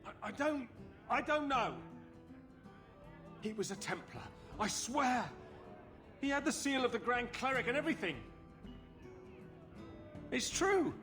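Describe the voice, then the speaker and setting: A man's voice: very high-pitched, lively intonation, a little slow, long pauses, sharp tone, faint music behind, heavily acted. An actor playing a drunken, blustering city guardsman with slurred speech in a video game. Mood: frightened, stammering. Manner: boastful, then stammering when confronted. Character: drunken, bragging city guardsman who cowers under questioning.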